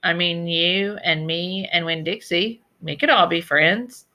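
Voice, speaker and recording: A woman's voice: lowish voice, unhurried, highs cut off, close to an everyday voice. A woman voicing a polite, curious young girl from a storybook, eager and questioning. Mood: friendly. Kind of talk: storybook character voice in a read-aloud.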